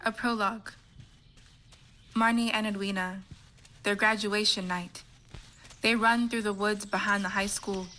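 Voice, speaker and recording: A woman narrates a play script, reading out the setting and stage directions. Her voice is bright and crisp-edged, at a middle pitch. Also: a little hiss or hum.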